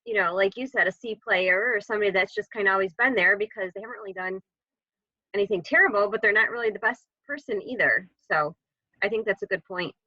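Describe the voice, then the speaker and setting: A woman's voice: mid-range voice, quick pace, bright, forceful tone, no room sound. A woman speaking casually as a participant in a business conference call. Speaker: HR professional on the call. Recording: muffled, band-limited conference call audio.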